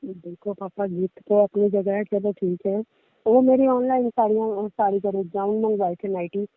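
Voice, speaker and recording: A woman's voice: medium pitch, normal speed, dry recording, natural voice. A young woman playing a married daughter who chats and complains casually in a staged phone conversation. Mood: chatty. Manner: complaining, chatty. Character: aggrieved young married daughter confiding in father. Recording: tinny, dry phone-call audio.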